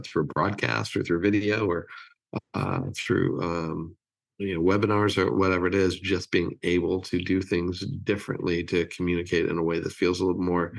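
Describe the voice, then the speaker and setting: A man in his fifties from California with an American accent, chatting as an interview guest in a halting, thoughtful way. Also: sits low, gravelly, poor audio. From California, United States. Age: 50s. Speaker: interview guest, creative agency chief. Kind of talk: casual interview chat.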